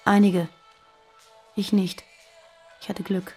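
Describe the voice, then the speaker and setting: Fugitive woman, radio drama, guarded, earnest, dark husky voice. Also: medium pitch, level tone, slow, soft background music. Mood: insistent. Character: guarded, frightened fugitive terrorist woman living under false names. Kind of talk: naturalistic German radio play dialogue.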